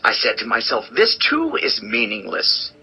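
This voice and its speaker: A man's voice: medium pitch, huge pitch swings, bright, forceful tone, breathy edge, heavily acted. An actor playing a world-weary, wise old king in a solemn, theatrical dramatized scripture reading. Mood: pondering. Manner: solemn, deliberate, theatrical delivery. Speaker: audio Bible narrator and actor.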